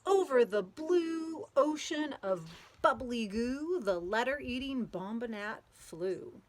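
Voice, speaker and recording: Slow, animated woman reading a picture book aloud. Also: a little high, a little hiss or hum. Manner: expressive, drawn-out storytelling with playful sound words. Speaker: storybook read-aloud reader.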